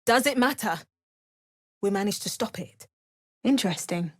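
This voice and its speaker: Casting: actress as wry female adventurer for a fantasy RPG, measured and understated, mid-range voice, very dry studio sound. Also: mid-range voice, expressive, no echo, natural voice. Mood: musing, wry. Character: adventurous, wry woman hero of a fantasy game. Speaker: video game voice actor. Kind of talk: scripted fantasy game dialogue.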